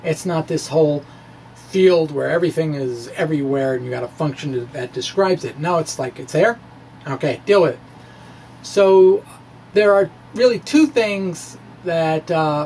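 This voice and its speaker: A middle-aged man giving an informal lecture in a halting, hesitant way with wry asides. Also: fairly high pitch. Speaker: physics blogger and lecturer. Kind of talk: informal physics lecture with derivations. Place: small indoor room with bookshelves. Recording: clear steady background noise.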